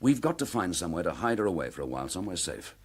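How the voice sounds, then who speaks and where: An actor playing a decisive, protective British police inspector under suspension, speaking briskly and with command in a radio drama. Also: male voice, deep voice, sing-song, breathy edge, exaggerated voice. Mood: measured, resolute.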